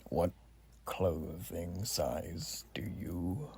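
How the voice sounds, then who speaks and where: A man playing a zealous, courteous revolutionary war soldier in an ASMR roleplay exam, speaking softly and breathily with formal, old-fashioned phrasing. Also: deep voice, animated, very slow, full character voice. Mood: polite, probing. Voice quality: soft, breathy, often near whisper. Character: zealous, folksy revolutionary war soldier examining a new recruit.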